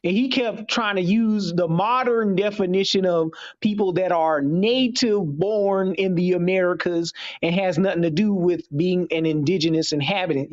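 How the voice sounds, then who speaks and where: A man in his late twenties explaining his views in a halting, deliberate way as a guest in an online discussion. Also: high voice, lo-fi sound. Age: 20s.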